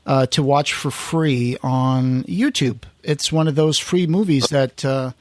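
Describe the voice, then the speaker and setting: A man's voice: medium pitch, slow pace, a little mellow, dry recording. A man hosting a podcast, casually recounting film history in a conversational storytelling way and quoting people as he goes. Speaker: podcast host.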